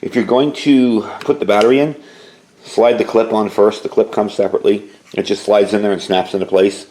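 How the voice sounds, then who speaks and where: Low-voiced man in an echoey room. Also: steady tone, brisk, dark tone. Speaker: product reviewer and ham radio hobbyist. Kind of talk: casual product review, demonstrating features. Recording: echoey room with faint background noise.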